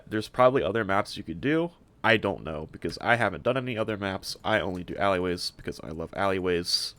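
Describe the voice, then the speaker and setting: A man's voice: fairly low pitch, fairly bright tone. A man giving casual gameplay commentary as a streamer, offhand and a little hesitant, thinking aloud as he goes. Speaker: streamer showing a game character build.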